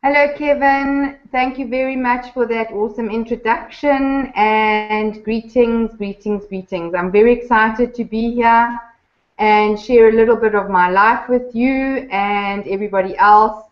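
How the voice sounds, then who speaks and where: A woman, a guest talking about her life in warm, enthusiastic, unhurried speech with thoughtful pauses, heard over a remote call. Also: fairly high pitch, steady tone. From Zimbabwe. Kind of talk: casual interview chat. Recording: echoey, dull lo-fi remote line.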